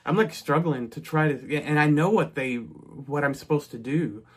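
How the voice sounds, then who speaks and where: A man's voice: fairly high pitch, moderate pace, breathy edge. A middle-aged man from North Texas, casually telling a personal story in a chatty way, with frequent restarts and asides.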